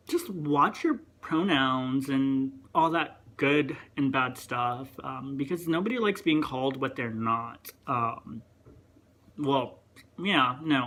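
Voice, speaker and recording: A young man with a higher voice that gets mistaken for a woman's, rambling through a casual rant and interrupting himself. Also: unhurried, pushed and bright. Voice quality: higher voice, sometimes heard as female. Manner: rambling, chatty, self-interrupting. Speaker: vlogger.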